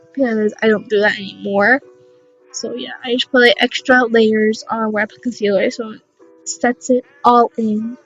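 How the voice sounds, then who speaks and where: A young woman with a raspy voice, casually narrating a makeup tutorial in a rambling, unsure, self-deprecating way. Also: fairly high pitch, a bit bright, dull audio.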